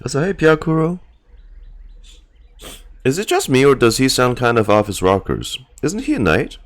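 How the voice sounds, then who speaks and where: A man streaming casual reaction commentary on a game story, talking in a slangy, halting, repetitive way. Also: mid-range voice, sing-song.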